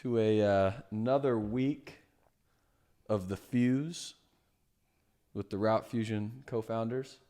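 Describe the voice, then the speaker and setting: A man's voice: fairly low pitch, steady tone, slow pace, long pauses, mellow. A man in his thirties co-hosting a podcast in casual chat, joking and laughing often. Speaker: podcast co-host.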